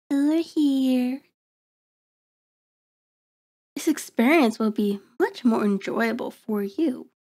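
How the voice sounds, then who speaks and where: A predatory female vampire acted by a woman toys with her prey in an ASMR horror roleplay. Her voice sits a little high yet is very mellow and dark in tone. She speaks very slowly, with haughty, mocking, formal diction. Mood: mocking, menacing. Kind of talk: ASMR horror roleplay, intimate scripted monologue.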